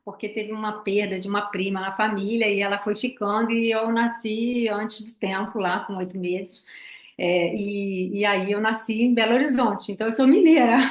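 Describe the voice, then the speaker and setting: A woman's voice: a little high, echoey room. An older woman from Brazil with a Rio de Janeiro accent, a podcast guest telling nostalgic stories in casual chat. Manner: warm storytelling, nostalgic.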